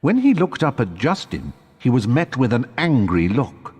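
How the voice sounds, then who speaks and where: A man narrating a wizard tale as a storyteller in a video game, with a dramatic scripted delivery and a husky voice. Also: medium pitch, big pitch swings, moderate pace, darkish tone, in a large hall. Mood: suspenseful narration.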